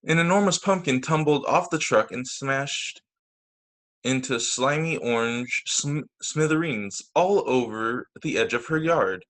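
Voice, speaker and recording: A male teacher reads a children's picture book aloud over a video call. His voice sits at a medium pitch, and he delivers the narration expressively, leaning on key words. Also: fairly bright tone. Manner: deliberate emphasis on key words, with short asides to listeners. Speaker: teacher reading at story time.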